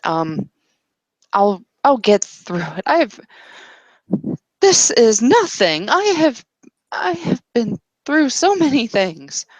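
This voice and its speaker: A woman playing a distressed, confused mortal woman in a roleplaying game, speaking haltingly with long pauses. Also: on the high side, big pitch swings, no echo, voice pushed up, full character voice, low-quality recording. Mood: distressed, pleading.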